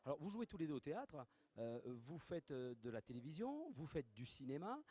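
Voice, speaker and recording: A man interviewing two actors at a festival, asking brisk, leading questions. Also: fairly high pitch, very animated, dark tone, husky.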